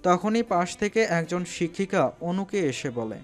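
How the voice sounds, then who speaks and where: A man narrates a scripted romantic audio story. His voice sits a little high for a man, and faint music plays behind his storytelling. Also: lively intonation, a little slow.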